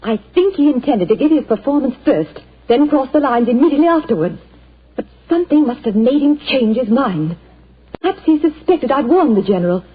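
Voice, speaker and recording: A distraught general's wife, tremulous and pleading, with a fairly high, very breathy voice. The sound is thin and lo-fi, like an old radio broadcast. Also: female voice, expressive, a little fast. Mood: worried. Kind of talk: 1940s radio acting.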